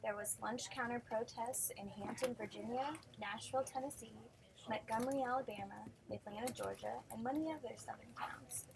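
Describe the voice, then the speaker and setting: A woman with a slightly high voice reads a children's story aloud as narrator. Her delivery is measured, in storytelling fashion. The amateur recording has clear background noise and clicks. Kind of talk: reading a children's picture book aloud.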